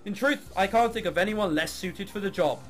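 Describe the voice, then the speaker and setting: A man's voice: high voice, brisk, a bit bright, faint music behind. Man reading as a sarcastic, apathetic young heiress. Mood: wry narration. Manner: sarcastic, bored, crass. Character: jaded, apathetic young woman, reluctant orchestra heiress.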